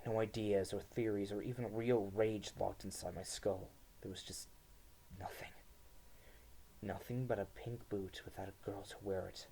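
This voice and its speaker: A young man giving a somber dramatic reading of first-person fiction, his phrases sometimes dropping to a hush. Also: low voice, vocal fry, low-quality recording. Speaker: student reader.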